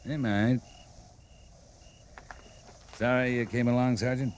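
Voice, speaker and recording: An actor playing a stern, determined US cavalry captain in an old time radio drama, speaking in a clipped, grave, commanding way. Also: male voice, medium pitch, steady tone, slow pace, slight background noise, natural voice. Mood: dry, calm. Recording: vintage radio drama recording.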